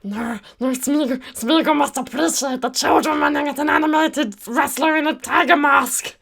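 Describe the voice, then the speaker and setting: A woman voicing a simpering, wheedling Gollum-like creature in a strained, halting way for a comedy video. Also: sits high, vocal fry, fairly bright tone. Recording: music behind the voice.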